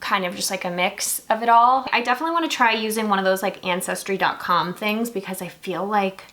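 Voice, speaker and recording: Chatty young woman, bright voice. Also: medium pitch, fairly quick. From Singapore. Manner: chatty, lots of "like" fillers. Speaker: beauty vlogger. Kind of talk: casual chat while doing makeup.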